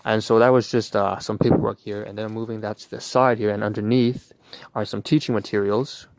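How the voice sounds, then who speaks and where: A man's voice: fairly low pitch, little pitch movement, fairly quick, no echo. A man hosting a livestream, rambling through a narration of what he is doing in hesitant, wordy and slightly formal phrasing. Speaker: livestream host. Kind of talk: rambling vlog narration while sorting paperwork.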